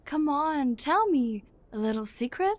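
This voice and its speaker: An actress voicing a teasing, doting young girl-group singer in an audio drama, playful and coaxing with drawn-out words. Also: high voice, lively intonation. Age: young adult.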